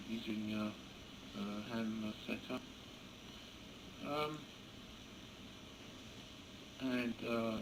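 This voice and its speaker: British male ham operator, hesitant and creaky, fairly flat delivery, noisy recording. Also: mid-range voice. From England. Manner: hesitant, halting. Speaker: amateur radio operator. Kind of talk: casual ham radio chat. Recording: noisy amateur radio contact recording.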